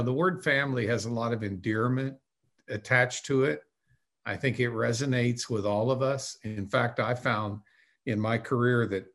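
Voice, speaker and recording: A male podcast host answers his guest in a thoughtful, affirming way. He asks follow-up questions, with an occasional filler between thoughts. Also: medium pitch. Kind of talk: interview.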